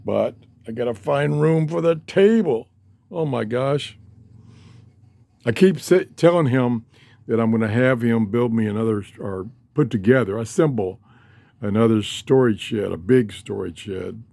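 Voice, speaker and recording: A man's voice: mid-range voice, unhurried, creaky, dark tone, no echo, clean studio sound. An older man talking casually in a folksy, rambling way, often interrupting himself as he hosts a hobby vlog. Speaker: host of a shortwave radio hobby channel. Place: cluttered room downstairs at home. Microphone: handheld cell phone.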